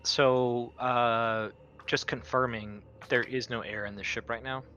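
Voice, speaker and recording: A man's voice: mid-range voice, fairly even intonation, measured pace, pushed and bright. A man chatting casually as a player in a tabletop roleplaying game. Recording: dull lo-fi audio, background noise.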